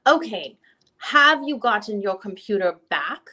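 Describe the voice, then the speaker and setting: A woman's voice: mid-range voice, sing-song, measured pace, sharp tone, full character voice. A woman reading the part of a probing lawyer questioning a witness at a deposition. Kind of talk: dramatic reading of legal questioning.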